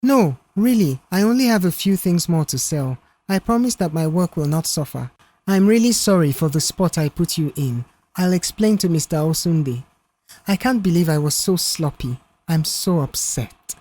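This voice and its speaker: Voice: medium pitch, animated, normal speed, mellow, natural voice. A narrator voicing an apologetic, desperate young woman who works as an office secretary, pleading earnestly in an audio story.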